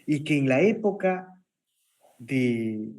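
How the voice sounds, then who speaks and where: A man's voice: medium pitch, animated, takes it slowly, darkish tone. A man with a Peruvian Spanish accent hosting an opinion interview, speaking emphatically with rhetorical questions and repetition. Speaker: interview program host.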